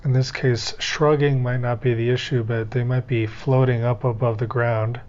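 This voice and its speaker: Male guided-meditation instructor, mid-pitched voice, gentle and unhurried delivery, somewhat muffled lo-fi sound. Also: little pitch movement. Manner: gentle, unhurried step-by-step coaching with pauses.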